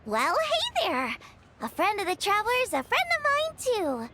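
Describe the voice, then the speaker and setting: A woman's voice: very high voice, huge pitch swings, measured pace, heavy background noise, heavily acted. A woman voicing a friendly, earnest young girl cook in a video game, youthful and informal. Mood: welcoming. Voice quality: youthful, girlish.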